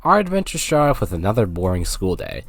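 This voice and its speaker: A low-pitched male voice with very animated intonation delivers a scripted game review. He is jokey and slips in sarcastic, playful asides as he narrates. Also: quick pace, vocal fry, noisy background.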